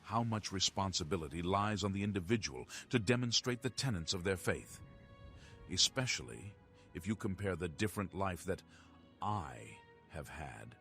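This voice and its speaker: Very deep, grave fantasy townsman. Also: fairly bright tone. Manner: measured, pondering, formal. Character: grave, worried male townsman of a fantasy city. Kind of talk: fantasy game voice acting.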